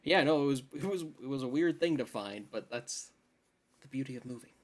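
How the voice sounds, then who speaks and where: Guy on a podcast, mid pitched voice, chatty and emphatic, dude this like that. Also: animated, brisk. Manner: slangy, emphatic, says "dude" and "like". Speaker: podcast co-host. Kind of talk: casual chat about games and films.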